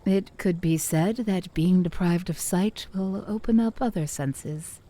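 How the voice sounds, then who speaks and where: A mysterious wise woman, played by an actress, speaks in a low, mellow voice. She is solemn and deferential, like a keeper of ancient lore in a fantasy audio drama. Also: a little slow, lightly acted. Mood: solemn, knowing.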